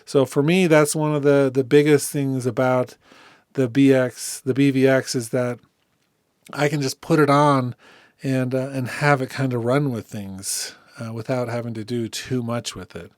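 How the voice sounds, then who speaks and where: A man giving a casual software demonstration, speaking informally and thinking aloud as he goes. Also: mid-range voice, steady tone, unhurried, no room sound, studio quality. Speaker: music software tutorial host.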